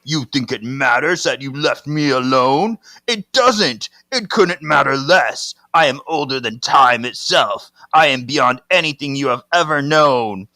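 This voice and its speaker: A man's voice: medium pitch, expressive, a little slow, hardly any pauses, pushed and bright, dry recording, voice pushed up. A man voicing a scornful, menacing woman possessed by an ancient being.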